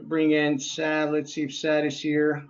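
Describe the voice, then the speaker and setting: A casual webinar host, a man, moves the session along and brings callers in, with fillers along the way. His voice is a little high, and the call audio is dull and lo-fi. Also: completely flat delivery, measured pace. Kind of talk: hosting a webinar. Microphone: video call.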